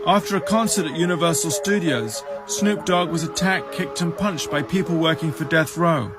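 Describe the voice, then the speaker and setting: A man's voice: a little high, normal speed, loud music behind the voice. A man reading a scripted news report as a reporter.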